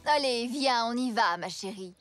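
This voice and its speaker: Gushing, animated zebra mother. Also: on the high side, normal speed. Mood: brisk. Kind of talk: French children's cartoon dubbing. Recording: music behind.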